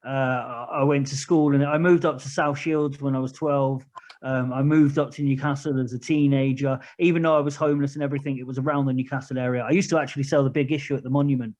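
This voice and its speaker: A man's voice: medium pitch, fairly even intonation, fast, a little mellow. A man in his thirties with a southern English accent, talking candidly and at length as a guest in a casual interview. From England. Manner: candid, plain-spoken, rambling. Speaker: podcast guest, charity project manager. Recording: muffled lo-fi recording.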